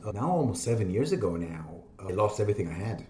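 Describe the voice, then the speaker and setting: A man in his fifties from Israel, a podcast guest explaining his ideas in an animated, conversational way. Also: lowish voice, a crowd in the background. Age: 50s. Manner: animated, explaining with quick asides and laughs. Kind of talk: casual interview talk. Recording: echoey room.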